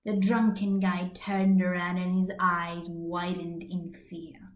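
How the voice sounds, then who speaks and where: A woman's voice: lowish voice, flat delivery, takes it slowly, roomy sound. A woman reading a fan story as an amateur narrator, recorded on a computer's built-in microphone. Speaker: amateur podfic reader.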